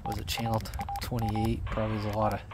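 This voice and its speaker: A man giving a casual, off-the-cuff how-to explanation outdoors in an informal, rambling, halting way. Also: on the low side, completely flat delivery. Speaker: hobby radio vlogger. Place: outdoors among trees. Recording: outdoor handheld video with background noise.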